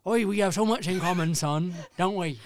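A man playing a lonely, bitter grandfather said to be 150 years old, who rants and swears. Clear close sound. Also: sits high, moderate pace, no echo. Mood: self-pitying. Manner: mock-indignant ranting, sweary.

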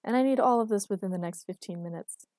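A woman's voice: medium pitch, fast talker, warm tone, no room sound, clean studio sound, natural voice. A woman voicing an exasperated, bossy boyfriend in audiobook dialogue, firm, nagging and long-suffering. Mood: exasperated, pleading. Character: exasperated bossy responsible boyfriend, a working man.

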